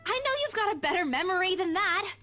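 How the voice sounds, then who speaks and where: High, animated, cheerful young woman. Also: fast, faint music behind, exaggerated voice. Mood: excited, proud. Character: cheerful, excitable young woman, playful real estate agent. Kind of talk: visual novel voice acting.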